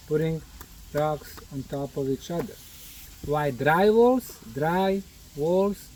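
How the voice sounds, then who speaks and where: A man's voice: on the high side, darkish tone. Man lecturing, distant outdoor mic. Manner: emphatic, didactic, short deliberate phrases. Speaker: research project leader addressing student volunteers. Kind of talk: explanatory talk to visiting volunteers. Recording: noisy outdoor recording, distant microphone.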